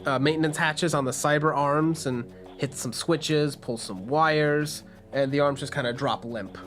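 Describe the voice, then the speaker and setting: A man's voice: on the high side, unhurried, fairly bright tone. A man improvising conversationally as game master, narrating scenes and voicing characters in a tabletop game. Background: faint music.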